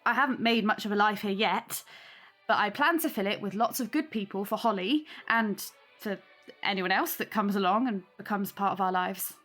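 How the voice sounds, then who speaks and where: A woman's voice: mid-range voice, moderate pace, lightly acted. Welcoming young mother, acted by a woman, music behind. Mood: warm, earnest. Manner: warm, sincere, chatty. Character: welcoming young single mother hosting her neighbours. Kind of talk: audio drama acting.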